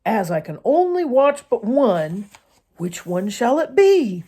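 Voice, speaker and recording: Woman voicing a crafty, slow-talking old male coyote. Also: fairly high pitch, sing-song, dark tone, husky, voice pushed up, heavily acted. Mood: calculating. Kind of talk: children's storybook character voice.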